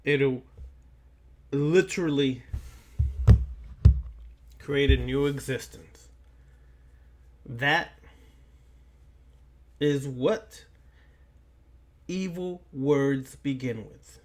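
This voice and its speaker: A man giving an esoteric lecture like a preacher, speaking in deliberate, halting phrases with long pauses and repeating key lines for emphasis. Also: mid-range voice. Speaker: occult lecturer.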